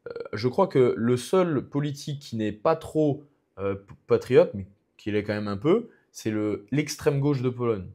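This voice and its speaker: A man's voice: mid-range voice, animated, unhurried, warm tone. Rambling young Frenchman, fighter talking shop. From France. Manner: rambling, many restarts and fillers. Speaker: guest, MMA fighter. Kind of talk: casual podcast conversation.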